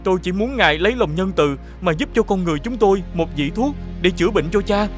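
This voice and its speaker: High-voiced, gentle young hero. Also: male voice, animated, fast, soft background music. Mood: pleading. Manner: earnest, pleading, courteous. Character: gentle, polite young hero seeking a healing herb.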